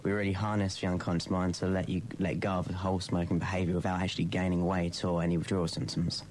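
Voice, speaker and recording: A young man with a low voice, a hypnotherapist speaking as a radio guest. He explains his methods steadily, as if selling them. A light hiss sits under the voice. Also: little pitch movement, fast, darkish tone. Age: young adult. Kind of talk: radio interview.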